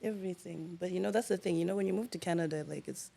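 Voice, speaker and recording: A woman's voice: on the low side, gravelly. A young woman from Zimbabwe, a podcast guest talking casually and candidly. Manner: relaxed, candid and unhurried. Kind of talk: casual chat.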